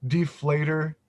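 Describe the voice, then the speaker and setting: Halting man, somewhat monotone, video call. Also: fairly high pitch. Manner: halting, many restarts, says himself he is monotone. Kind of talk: casual video call chat.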